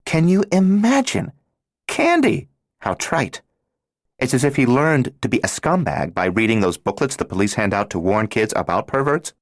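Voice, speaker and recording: A man voicing a brash, blunt twelve-year-old girl who talks in loud, emphatic bursts. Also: on the low side, animated, normal speed, no echo, studio quality, pitched above the performer's own voice. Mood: mocking.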